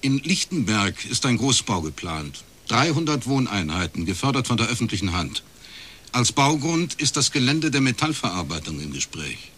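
An actor playing a laconic private detective in a radio crime drama, with a husky voice and a measured, dry way of telling things. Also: male voice, medium pitch, very animated, fairly bright tone. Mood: matter-of-fact, pressing. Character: laconic male East Berlin academic turned private detective.

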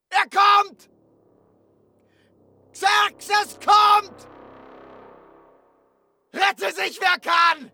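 A hot-headed ancient warrior in a comic radio play, shouting at a very high pitch. He is frantic and excitable, drawing out his cries over music. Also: full character voice. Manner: yelling, exclamatory. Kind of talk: comic radio drama acting.

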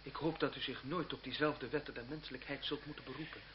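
An actor playing a proud, righteous young seminarian in a radio drama, with an earnest, formal, declamatory delivery. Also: male voice, medium pitch, steady tone, fast talker, natural voice. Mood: restrained disapproval. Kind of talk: Dutch radio drama acting. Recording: muffled old radio recording with background noise.

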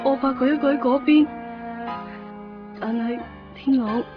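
A woman's voice: high voice, fairly even intonation, a little slow. An actress playing a remorseful, lovesick young woman in a radio drama monologue, with a Hong Kong Cantonese accent. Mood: sad, apologetic. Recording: muffled radio drama recording, music.

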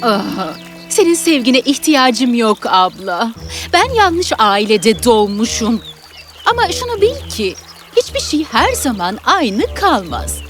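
A woman's voice: a little high, sing-song, faint music behind. A woman playing a vain, rude young village girl in a children's fairy tale, speaking in a haughty, dismissive way. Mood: scornful, grandiose. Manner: haughty, dismissive, drawn-out delivery.

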